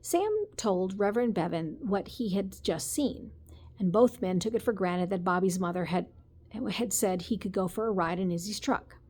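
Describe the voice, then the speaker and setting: A woman, a teacher, reading a children's story aloud in an expressive way with the odd stumble. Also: mid-range voice, moderate pace. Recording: noticeable background noise.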